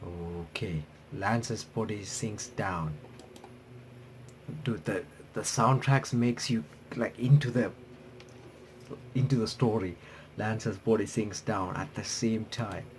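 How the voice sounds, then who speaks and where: A man reading game text aloud and adding casual, halting commentary as a playthrough streamer. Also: lowish voice, a little hiss or hum. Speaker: YouTuber playing and reacting to a visual novel.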